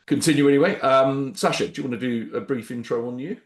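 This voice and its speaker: A man with a head cold and a nasal voice, hosting a webinar on a video call in a relaxed, rambling way. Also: mid-range voice, fast, reverberant. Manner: relaxed, rambling, frequent ums and restarts. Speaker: webinar host, startup founder. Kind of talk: casual webinar presentation.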